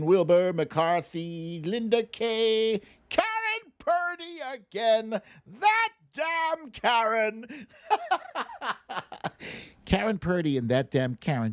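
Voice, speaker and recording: A middle-aged man hosting a live stream, chatting casually and playfully as he runs a guessing game and reads out names. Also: high-pitched. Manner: playful, hums and recites lyrics. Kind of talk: casual chat, running a song guessing game and reading out names.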